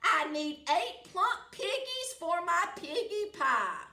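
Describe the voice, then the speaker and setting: A woman voicing a greedy, bossy storybook witch who shouts rude insults. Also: very high voice, very animated, slow, roomy sound, pitched above the performer's own voice, full character voice.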